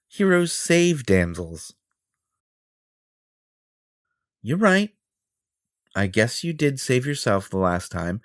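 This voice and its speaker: A man's voice: mid-range voice, dry recording, clean studio sound. A man playing a vain, possessive superhero in an audio roleplay, theatrical and self-important, with a flirtatious streak. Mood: teasing, defensive.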